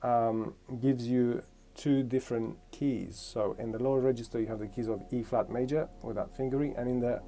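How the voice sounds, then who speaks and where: A man's voice: mid-range voice, steady tone, moderate pace, hardly any pauses, dark tone. A man from Romania giving a casual music lesson, thinking aloud and correcting himself as he explains. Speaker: music teacher.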